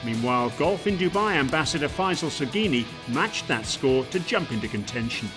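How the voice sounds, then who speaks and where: A man narrating a golf highlights feature in a smooth, measured, scripted delivery. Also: lively intonation. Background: guitar music.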